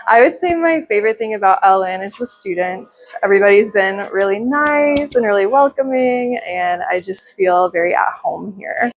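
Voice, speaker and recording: Woman, school staff, mid pitch, friendly interview answers. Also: lively intonation, moderate pace, hardly any pauses.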